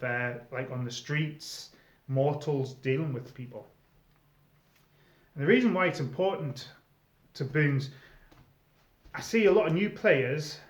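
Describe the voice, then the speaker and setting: A middle-aged man giving informal advice to viewers in a conversational, emphatic way, often pausing mid-sentence. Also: medium pitch. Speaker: experienced role player giving advice to newcomers. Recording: echoey room, slightly dull sound.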